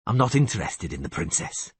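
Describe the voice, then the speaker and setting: A man voicing a calm, terse male monster hunter, low and quiet. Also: normal speed, husky, dry recording.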